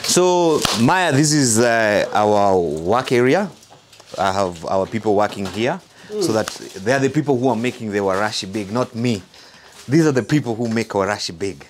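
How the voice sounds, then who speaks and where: A man's voice: lowish voice, animated, normal speed, a little hiss or hum. A middle-aged man from Kenya with a Kenyan English accent, interviewed about his business, speaking earnestly with hesitant restarts, on a lapel mic. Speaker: interviewee, salon owner and manufacturer. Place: factory and salon, indoors.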